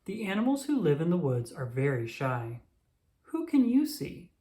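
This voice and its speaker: A woman reading a children's storybook aloud with expressive, animated storytelling and emphatic exclamations. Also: sits low, normal speed. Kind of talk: scripted read-aloud narration for young children. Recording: roomy, echoing sound.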